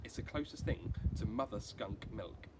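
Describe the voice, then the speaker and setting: Man reading as a patient, measured storybook mother. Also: on the low side, natural voice. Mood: patient, explaining. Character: calm, patient mother who is a vet. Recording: clearly noisy amateur recording.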